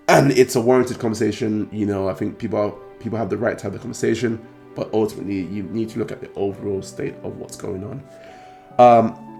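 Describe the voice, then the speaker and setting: A man with a British accent hosting a trading card channel, talking casually to camera in a croaky, hoarse voice, rambling and clearing his throat often. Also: on the low side, mellow. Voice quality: gravelly.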